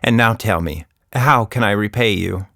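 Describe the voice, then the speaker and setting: A man's voice: on the low side, normal speed, gravelly, sharp tone, no echo, clean studio sound. A man voicing a talkative, short-sighted female monkey who rambles and interrupts in a children's story. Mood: matter-of-fact.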